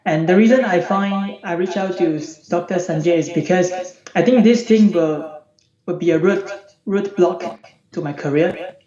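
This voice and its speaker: A man with a high-pitched, youthful, unbroken voice, recounting his situation in a repetitive, conversational way as a client on an online call. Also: a little slow, dark tone. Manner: repetitive, says "you know" often. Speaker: client, accountant. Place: remote video call. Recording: echoey, muffled online call with background chatter.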